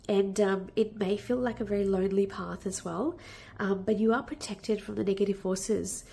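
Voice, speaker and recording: Woman tarot reader, nasal and croaky from a cold, gravelly, gently reassuring. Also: medium pitch, normal speed, slight background noise. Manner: warm, reassuring, with many fillers and restarts. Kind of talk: casual spoken tarot reading.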